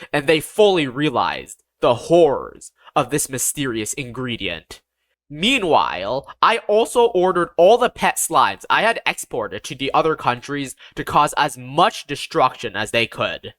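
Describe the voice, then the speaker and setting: A man's voice: fairly high pitch, fairly bright tone, no room sound. A man reading a scripted first-person fantasy story as an audiobook narrator, with a dramatic, emphatic delivery.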